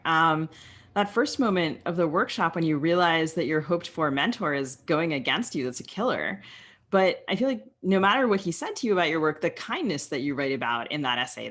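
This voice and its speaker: A woman's voice: low voice, a bit bright. Woman, American accent, podcast co-host, casual reminiscing, conversational, anecdotal storytelling. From Maryland, United States. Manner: conversational, anecdotal, quotes dialogue with "like".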